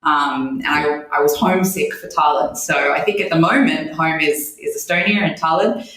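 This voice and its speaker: A woman's voice: sits low, moderate pace, a bit bright. A woman in her thirties with an Australian accent, chatting as an interview guest with frequent ums and easy laughs. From born in New Zealand, raised in Australia. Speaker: guest, head of content. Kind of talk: casual conversation. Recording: very echoey room.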